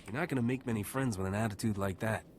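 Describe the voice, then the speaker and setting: A man's voice: on the low side, fast, slight background noise, natural voice. An actor playing a composed, firm male hero in a space adventure video game, speaking directly. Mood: calm, grave. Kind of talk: dramatic video game dialogue.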